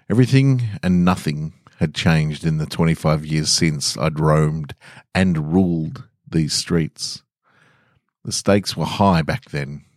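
A man's voice: deep voice, darkish tone, dry recording, studio quality. An Australian man hosting a podcast and reading stories aloud. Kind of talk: casual podcast intro and story reading.

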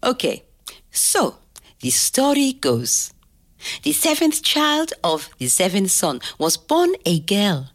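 A woman playing a proud, fond grandmother in an audio drama, telling her life story to her grandchild as an expressive storyteller. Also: mid-range voice, slow pace, heavily acted. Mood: playful.

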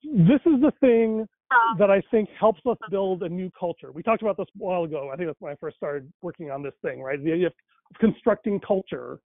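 A man's voice: sits high, expressive, fairly quick. A man whose first language is Tamil talks casually about faith and language, thinking aloud with many fillers and restarts. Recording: tinny phone-like line, dry.

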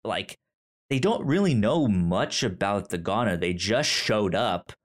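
A man co-hosting a podcast in casual chat, halting and repeating words as he searches for the next one. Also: fairly low pitch, lively intonation. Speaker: podcast co-host. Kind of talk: casual book discussion chat.

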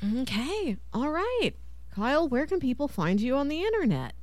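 A woman's voice: high-pitched, sing-song, moderate pace, darkish tone, no room sound. A woman hosting a podcast, chatting casually about pop culture and laughing readily. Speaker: podcast host.

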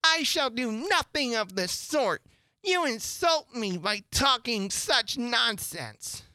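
A woman voicing an indignant, easily offended storybook mouse with a huffy, drawn-out scolding manner. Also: on the high side, big pitch swings, sharp tone, no room sound, voice pushed up, full character voice.

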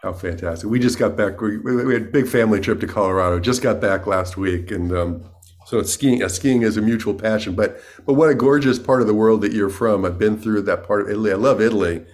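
A man's voice: fairly low pitch, quick pace, darkish tone. Chatty male podcast host. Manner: enthusiastic and chatty, repeats words. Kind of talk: casual interview talk.

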